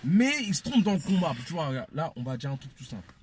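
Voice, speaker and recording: A man's voice: a little high, big pitch swings, fast, a little mellow, slight background noise. A man, giving his opinion emphatically in a casual debate and repeating phrases for effect. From Congolese descent. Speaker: panel speaker.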